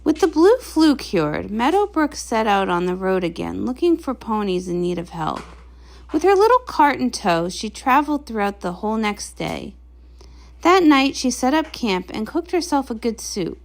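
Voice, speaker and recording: A woman narrator reading a comic story aloud in an expressive storybook style. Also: fairly high pitch, moderate pace.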